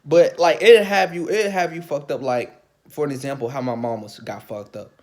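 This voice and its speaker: A man ranting casually to the camera, swearing often, repeating himself and rising to loud, excited outbursts. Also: medium pitch, moderate pace. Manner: calls his own voice light. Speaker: vlogger.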